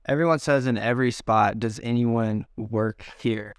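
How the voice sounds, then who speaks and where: A man hosting a casual interview in a relaxed, slangy way. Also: medium pitch, normal speed, clean tone, no echo, clean studio sound. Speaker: podcast host.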